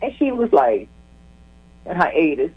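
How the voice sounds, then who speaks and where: An older woman, a caller to a talk show, with a strong loud voice, chatting and telling stories and often repeating her words. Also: deep voice, sing-song, a little slow. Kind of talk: phone-in chat. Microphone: phone. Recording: dull lo-fi phone line.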